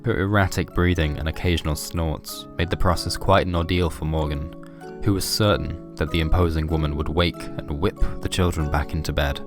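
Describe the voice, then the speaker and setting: A man reading a scripted, surreal horror story as its narrator. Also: sits low.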